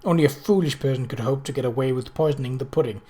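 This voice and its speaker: A man voicing a composed, stubborn young woman in a novel reading, speaking in a clear, firm, factual way. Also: mid-range voice, quick pace, warm tone, lightly acted. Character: young American woman secretary, composed, sharp, firmly stubborn.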